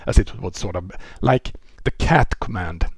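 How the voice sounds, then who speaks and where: A man in his forties with a Swedish accent, giving a relaxed technical lecture over a headset mic, often restarting and filling with "uh" and "you know". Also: low voice, a little slow, husky, no room sound, studio quality. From Sweden. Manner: relaxed explaining, with frequent restarts and fillers. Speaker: presenter. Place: indoor room.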